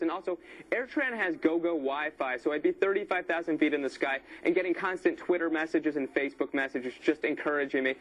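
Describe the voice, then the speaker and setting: A man's voice: high-pitched, fairly bright tone. A man being interviewed as a comedian guest, upbeat and joking, on a radio aircheck. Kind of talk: live TV interview via remote feed.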